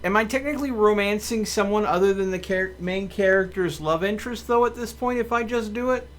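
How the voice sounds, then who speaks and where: A man's voice: sits high, brisk. A man streaming a game with casual live commentary, hesitantly musing aloud.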